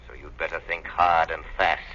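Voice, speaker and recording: Menacing crime fighter, low pitch, deliberate taunting delivery, old radio drama, muffled vintage recording. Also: male voice, level tone, sharp tone, heavily acted. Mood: menacing, accusing. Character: menacing, mocking, all-knowing male invisible crime fighter. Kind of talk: 1930s radio drama acting.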